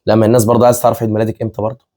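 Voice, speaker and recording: A man's voice: lowish voice, little pitch movement, rapid-fire, warm tone. A man in his twenties with an Egyptian Arabic accent, chatting casually with viewers as a family vlog host, repeating himself and laughing often. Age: 20s. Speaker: vlogger, channel co-host.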